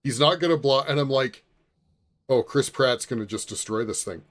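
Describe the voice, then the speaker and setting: A man's voice: medium pitch, moderate pace, fairly bright tone. A man co-hosting a podcast, talking in a casual, conversational way. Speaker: podcast co-host. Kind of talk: casual chat.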